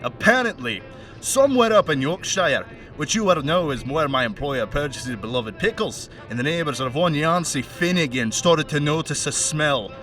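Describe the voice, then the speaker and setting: A man playing a mocking, menacing crime henchman with a put-on Scottish accent in an audio play monologue, chatty and sardonic with threatening pauses. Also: on the high side, animated, fairly bright tone, far from the mic, faint music behind. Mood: sardonic storytelling. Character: menacing, mocking male crime henchman taunting a bound captive.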